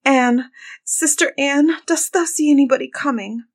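A woman's voice: medium pitch, lively intonation, normal speed, lightly acted. A woman voicing a frightened, desperate young wife in a fairy tale, pleading and calling plaintively. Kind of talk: dramatized fairy-tale storybook reading.

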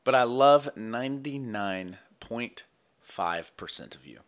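A man's voice: medium pitch, animated, takes it slowly. A young man hosting a podcast, bantering casually with his co-host, teasing and laughing often.

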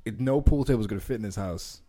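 A young man with a low voice co-hosting a casual podcast chat in an easygoing way. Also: fast talker, dark tone. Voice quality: pretty low voice. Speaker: podcast co-host.

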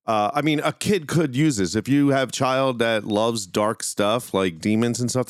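Guy doing a casual podcast chat, kinda rambling, clear voice, really dry close sound. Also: mid-range voice, no room sound. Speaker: podcast host. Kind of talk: casual solo podcast talk.

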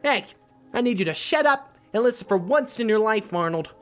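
A man playing a troubled, stubborn police detective in an audio drama, halting and repeating himself between sighs. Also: sits high, lively intonation, faint music behind. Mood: curt.

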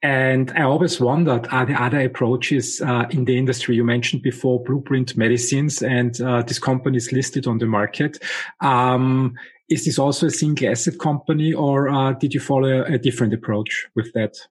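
Husky man hosting an interview. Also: mid-range voice, fairly even intonation. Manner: halting, frequent uh fillers. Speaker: podcast host. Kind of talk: interview questions.

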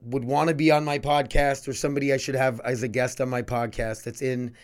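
A man's voice: medium pitch, flat delivery, a little fast. A man hosting a casual podcast, rambling and swearing easily as he talks. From North Dakota. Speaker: podcast host.

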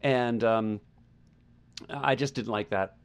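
Man talking haltingly on a podcast. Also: medium pitch, steady tone, clean tone. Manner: halting, searching for words, circles back and repeats phrases. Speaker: podcast co-host reviewing music. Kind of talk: casual conversational album review.

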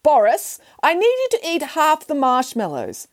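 A woman voicing a bossy, reckless pig nanny who once worked in a circus, speaking briskly and cheerfully. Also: mid-range voice, very animated, darkish tone.

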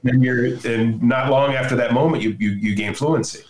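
A man's voice: medium pitch, moderate pace, a little mellow. Older man telling stories in a roomy space. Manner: storytelling, folksy, opinionated. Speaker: podcast guest. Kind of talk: casual conversation. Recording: roomy echo.

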